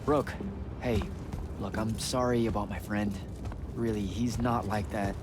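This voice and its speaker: An actor playing a wry, exasperated young battlemage hero in a video game, talking casually and sarcastically. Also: male voice, on the low side, steady tone, measured pace, natural voice. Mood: apologetic. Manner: casual, sarcastic, faltering when flustered. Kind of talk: naturalistic video game cutscene acting. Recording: music behind the voice.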